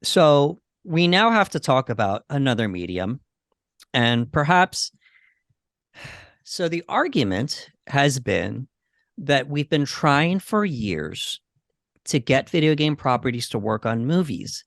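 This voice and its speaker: A man with a medium-pitched voice talks as a podcast co-host. His delivery is conversational and somewhat halting as he works through his thoughts. The sound is dry, with very little room reflection. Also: sing-song, fairly bright tone, no room sound. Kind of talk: casual discussion of games and movies.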